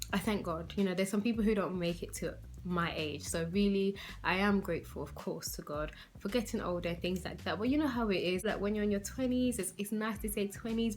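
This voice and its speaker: A woman in her twenties from London, with a London accent, chatting casually to her viewers in a rambling way, full of "like" and "you know". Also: fairly low pitch. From London, England. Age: 20s. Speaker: beauty vlogger.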